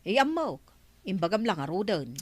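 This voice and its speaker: A woman's voice: mid-range voice, very animated, normal speed, darkish tone, husky, no echo. An actress playing a sassy, mocking village woman in a Filipino comedy drama, with an Ilocano accent. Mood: scornful, puzzled. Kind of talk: broadcast comedy drama acting.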